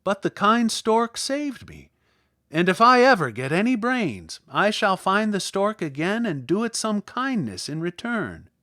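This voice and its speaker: A man's voice: sits high, big pitch swings, measured pace, pushed and bright, dry recording, pitched above the performer's own voice, full character voice. Storybook scarecrow, male, grateful and guileless, bouncy intonation, dry sound ; a man acts it. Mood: happy, grateful. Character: cheerful, grateful, simple male living scarecrow.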